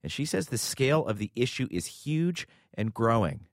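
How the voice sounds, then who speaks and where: A man's voice: medium pitch, very animated, normal speed, no echo, studio quality. A man hosting a news interview, introducing guests and asking probing questions. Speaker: news host.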